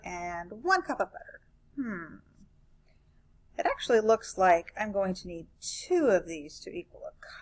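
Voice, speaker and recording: A woman reading a children's picture book aloud as a grumpy, bored, reluctant narrator who complains expressively. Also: fairly high pitch.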